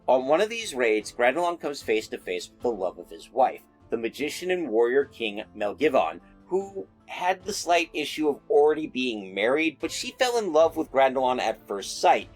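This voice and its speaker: A man narrating folklore in a casual storytelling style, with wry joking asides. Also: mid-range voice.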